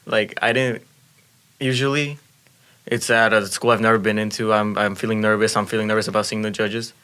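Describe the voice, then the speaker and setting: Teen male student, native Spanish speaker, deep monotone delivery, earnest and explanatory. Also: brisk, smooth. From Mexico. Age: teens. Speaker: student speech competitor. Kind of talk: casual chat.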